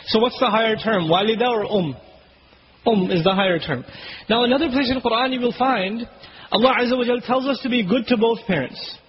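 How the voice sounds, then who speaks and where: A man whose first language is Urdu, giving an Islamic lecture. He explains Arabic words slowly and recites Quranic verses quickly. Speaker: Islamic preacher. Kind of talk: religious lecture. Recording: dull low-fidelity recording with faint hiss.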